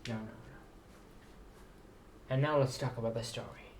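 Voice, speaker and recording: A man with a sore throat talking in a rambling, halting monologue to his viewers, often repeating words as he introduces his own writing. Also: mid-range voice, steady tone, a little hiss or hum. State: sore throat, slight fever. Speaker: writer introducing and reading own story. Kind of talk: slow rambling video monologue.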